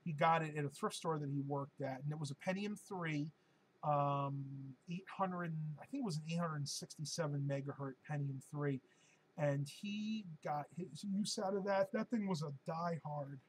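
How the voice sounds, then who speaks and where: A man with a hoarse voice that is wearing out, pitched a little higher than most men. He hosts a relaxed live-stream Q&A and rambles through his answers with frequent ums and uhs. A faint hiss sits behind him. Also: moderate pace, rough recording. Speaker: live-stream host answering viewer questions.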